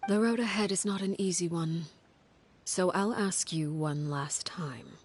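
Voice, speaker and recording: A woman playing a stern, composed leader of a secret family in a video game, speaking in a measured, formal, authoritative way. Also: lowish voice, a little mellow, slightly rough. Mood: resolute. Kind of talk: scripted video game dialogue.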